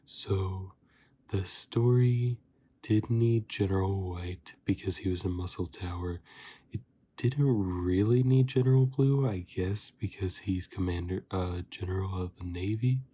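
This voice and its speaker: A man giving a softly spoken ASMR review, hushed and sometimes slipping into whispers. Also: sits low, a little slow, slightly rough. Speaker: host of an ASMR review channel.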